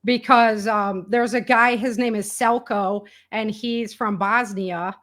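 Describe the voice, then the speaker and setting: A woman's voice: mid-range voice, steady tone, slow, a bit bright. Emphatic woman in casual, colloquial conversation. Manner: colloquial and emphatic, acts out quoted remarks. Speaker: interview guest, author and podcaster on survival prepping.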